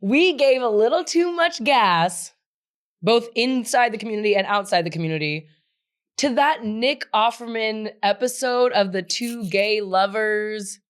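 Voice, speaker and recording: A woman's voice: on the high side, lively intonation, measured pace. A woman co-hosting a podcast with casual, teasing banter and dramatic comic emphasis. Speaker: podcast co-host.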